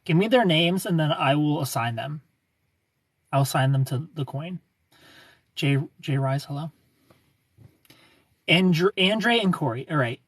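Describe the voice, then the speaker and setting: A man in his thirties hosting a casual livestream, reading viewer comments and greeting them by name in a smooth, laid-back way. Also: on the high side, expressive, unhurried, pauses often, dry recording. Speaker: livestream host.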